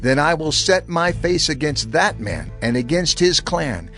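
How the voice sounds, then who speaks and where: God laying down the law. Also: male voice, fairly high pitch, very animated, measured pace, a bit bright, faint music behind, heavily acted. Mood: stern. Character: God giving laws, measured and commanding. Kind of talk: dramatized scripture reading.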